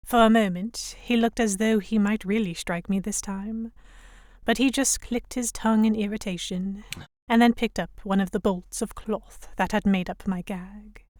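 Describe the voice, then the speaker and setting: A woman's voice: mid-range voice, dry recording, studio quality, close to an everyday voice. A woman playing a defiant, sarcastic young Victorian English noblewoman held captive, narrating in formal first person for an audio drama. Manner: sardonic, defiant, formal diction. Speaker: narrator and lead voice actor.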